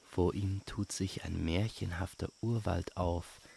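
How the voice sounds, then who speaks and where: Very deep, slow, creaky male storyteller. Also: slight background noise. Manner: calm, unhurried, soothing bedtime-story delivery. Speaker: storyteller and host. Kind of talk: slow scripted fantasy audio storytelling.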